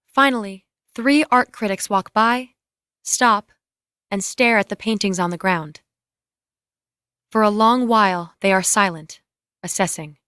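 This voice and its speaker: A woman's voice: medium pitch, a little slow, pauses often, pushed and bright, smooth, dry recording. Dry-sounding woman narrating scene directions. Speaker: narrator reading stage directions. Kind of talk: scripted screenplay-style narration.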